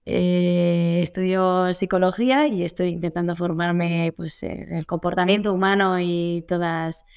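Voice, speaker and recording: A woman's voice: on the low side, fairly even intonation, unhurried, hardly any pauses, darkish tone, clean tone, no room sound, studio quality. A young woman in her twenties, a comic author, chatting as a laid-back radio guest. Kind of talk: casual radio interview.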